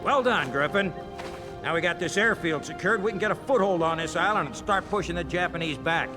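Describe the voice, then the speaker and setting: An actor playing a gruff, resolute American Marine officer in a war game, giving clipped, no-nonsense briefings. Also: male voice, sits high, fairly quick, music in the background, lightly acted. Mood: proud, rousing.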